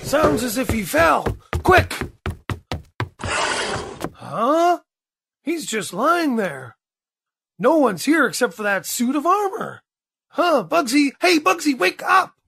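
A jumpy, dim-witted gangster's henchman, played by a man, talks slowly in a high, gravelly creak. It is radio adventure acting with clear noise behind. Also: sing-song, full character voice. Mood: alarmed.

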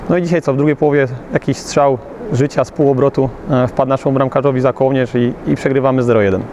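A man's voice: mid-range voice, steady tone, fast, darkish tone, a little hiss or hum. A man in his thirties, a youth football coach, talking after a match with many hesitations, recorded outdoors. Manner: hesitant, many fillers and restarts. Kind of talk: post-match interview. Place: outdoors beside a covered pitch.

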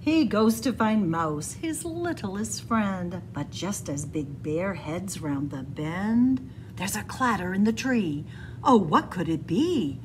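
A woman reading a picture book aloud in an animated, deliberate, sing-song storytelling style. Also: medium pitch, slight background noise, rough recording. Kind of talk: expressive children's read-aloud storytelling. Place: indoors, room with bookshelves.

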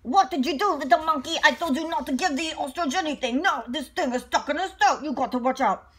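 A woman's voice: high-pitched, brisk, fairly bright tone, pitched above the performer's own voice. A woman reading the part of a stern male circus director in a storybook, bossy and admonishing. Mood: angry, scolding.

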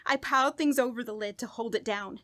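High-voiced, haunted, unraveling woman, played by an actress. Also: fairly bright tone, no echo, clean studio sound, natural voice. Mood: tense, recounting. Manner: halting, repeating words, breaking into nervous laughs and gasps. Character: guilt-ridden, unraveling woman haunted by her dead mother-in-law. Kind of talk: dramatic first-person horror monologue in old-radio style.

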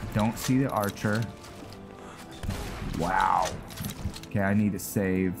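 Slow, low-voiced man commentating a game. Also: steady tone, gravelly. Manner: thinks aloud, mild exclamations. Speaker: gamer playing and commentating. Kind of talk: casual let's play commentary. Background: game music.

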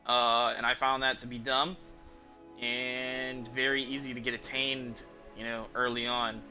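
A young man chats as a game streamer, with an average-pitched voice and music clearly behind him. His talk is informal and rambling, with some swearing. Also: little pitch movement, measured pace, pushed and bright. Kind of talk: casual live game commentary. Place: indoor room at a streaming setup. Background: game music and sounds.

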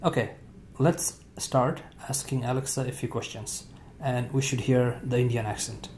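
A man hosting a tech tutorial, talking casually off camera in an indoor room with frequent fillers and pauses. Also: medium pitch, noisy background. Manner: relaxed, halting with frequent "uh" fillers. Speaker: tech video host.

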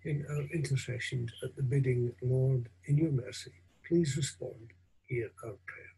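An older man, a minister, reading formal prayers solemnly, reverently and deliberately. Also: mid-range voice, creaky, background noise. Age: 60s or older. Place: small room at home. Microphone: video call or webcam at desk distance.

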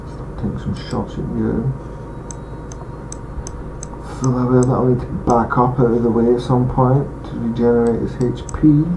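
A man's voice: medium pitch, normal speed, creaky. A man with an accent, casually thinking aloud as he plays a strategy game and comments on his moves. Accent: regional accent he says some may not follow. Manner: relaxed, thinking aloud, mumbled asides. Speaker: gaming channel host. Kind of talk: let's play commentary. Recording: dull lo-fi home mic with loud hiss.

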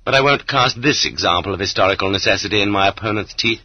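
A man narrates a literary audiobook with expressive, rhetorical delivery. His voice is low and husky. The recording is dry and slightly dull, like a cassette transfer. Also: quick pace, no room sound.

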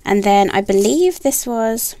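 A young woman chatting casually about planner stickers, soft and gushing, with many ums and likes. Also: fairly high pitch, expressive, unhurried. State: has a bad cold, nasal and throaty. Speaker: planner sticker haul vlogger. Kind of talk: casual haul chat. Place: indoors at a table, filming her hands.

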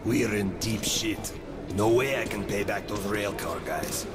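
A man playing a gruff, cynical survivor guide in a video game, speaking in a blunt, coarse way. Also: on the low side, a little slow. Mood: irritated. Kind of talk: video game character acting. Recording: music over the speech.

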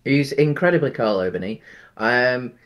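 A man's voice: medium pitch, expressive, normal speed. A man in his thirties, co-hosting a casual film-review chat from home over a video call, easygoing and rambling with many ums. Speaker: podcast co-host. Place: home room.

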